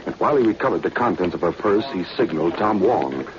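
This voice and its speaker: A man's voice: sits low, expressive, quick pace, highs cut off. An American man playing the narrator of a 1940s radio spy adventure, reading scripted narration. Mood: measured suspense. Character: brisk male narrator of a spy adventure serial. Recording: noisy, band-limited old radio recording.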